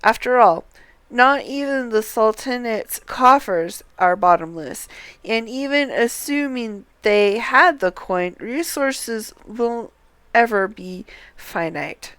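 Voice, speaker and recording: Slow, clear woman streamer reading aloud. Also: on the high side, animated, a bit bright, dry recording. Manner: deliberate, halting when reading. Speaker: game streamer. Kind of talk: reading game dialogue aloud, casual livestream commentary.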